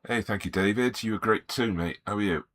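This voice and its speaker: Croaky low-voiced Welsh man. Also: fairly quick, a bit bright. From Wales. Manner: easygoing, greets viewers by name. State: croaky, slight sore throat. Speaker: artist streaming live. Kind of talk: relaxed live-stream chat while drawing.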